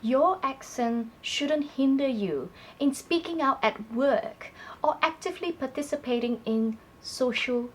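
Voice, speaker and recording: A woman co-hosting a talk segment, speaking clearly and prompting her partner with questions. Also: high voice, expressive, unhurried, hardly any pauses, poor audio. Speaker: co-host. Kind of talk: scripted conversational presenting.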